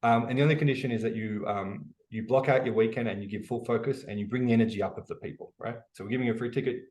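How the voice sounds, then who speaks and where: Fast-talking Australian man. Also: mid-range voice, poor audio. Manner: energetic storytelling, quick with many fillers. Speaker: guest, e-commerce educator. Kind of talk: podcast interview.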